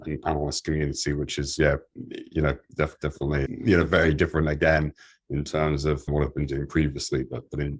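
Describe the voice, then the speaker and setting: A man with an extremely deep, monotone voice talks about his work. He hesitates often, repeating words and reaching for fillers as he goes. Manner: hesitant, many restarts and fillers. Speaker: guest, group controller. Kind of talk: podcast interview.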